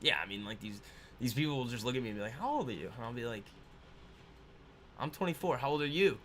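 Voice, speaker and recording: A man's voice: mid-range voice, lively intonation, fast talker, fairly bright tone, a little hiss or hum. A man in his twenties hosting a casual trading livestream, rambling and laughing as he reads viewer comments aloud. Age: 20s.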